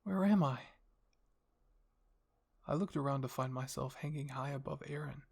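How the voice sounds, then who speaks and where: An anxious young captive, voiced by a man thinks aloud in an audio story. The voice is creaky and husky, full of confused, worried questions. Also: medium pitch, moderate pace, darkish tone.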